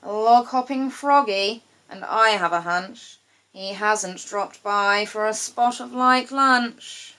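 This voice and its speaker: A woman voicing a friendly, lonely crocodile in a children's storybook, coaxing and gently teasing. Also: mid-range voice, takes it slowly.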